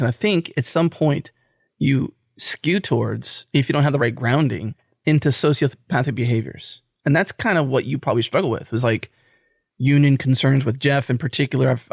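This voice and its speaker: A man's voice: mid-range voice, vocal fry, slightly rough, no room sound, studio quality. An American man co-hosting a casual interview, reflecting aloud in piecemeal clauses. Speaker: podcast co-host.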